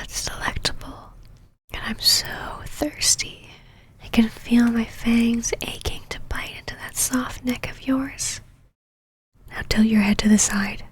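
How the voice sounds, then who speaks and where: A woman playing a possessive, teasing, domineering female vampire knight in an ASMR roleplay, mostly in a breathy whisper with a commanding manner. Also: on the high side, full character voice. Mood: hungry, commanding.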